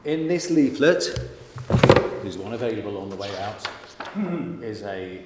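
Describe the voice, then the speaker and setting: A man's voice: on the low side, animated, a little slow, very noisy. A man standing as an election candidate, who rambles a little and makes self-deprecating apologies. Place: church hall. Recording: echoey church hall, mic at a distance.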